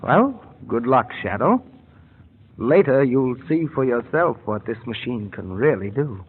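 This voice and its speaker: An actor playing a scheming scientist who explains things in a measured, formal way, in 1930s radio style. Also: male voice, mid-range voice, very animated, a little hiss or hum. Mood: earnest, formal. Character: smooth scheming scientist posing as earnest inventor. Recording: old muffled radio broadcast.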